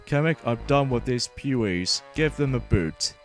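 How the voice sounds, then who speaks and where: A man's voice: medium pitch, animated, moderate pace, natural voice. A man voicing a big, bullying turtle king from a video game, bossy and gloating, stumbling a little as he reads. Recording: music playing behind the voice.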